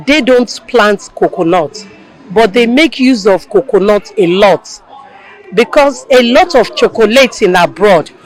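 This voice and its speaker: A man giving an interview answer, slow and emphatic, rising on key words. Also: sits high, poor audio. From Nigeria.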